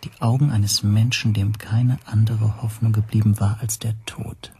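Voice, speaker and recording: A man's voice: fairly low pitch, flat delivery, moderate pace, noisy background, lightly acted. An actor playing a tense, self-doubting man who tells his own story as introspective first-person narration in a radio play. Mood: brooding.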